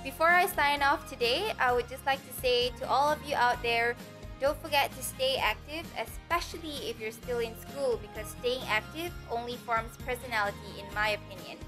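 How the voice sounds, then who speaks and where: Young Malaysian woman, high bright voice, chatty and upbeat self-introduction over background music. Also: normal speed. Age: young adult. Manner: chatty, enthusiastic. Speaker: pageant contestant. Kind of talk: self-introduction video, casual chat.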